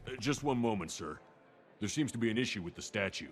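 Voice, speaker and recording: Game security guard: fairly deep, respectful, businesslike, faint score behind. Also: male voice, expressive, fast talker, faint music behind. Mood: polite, procedural. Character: dutiful male security guard, deferential to his boss. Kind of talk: video game cutscene acting.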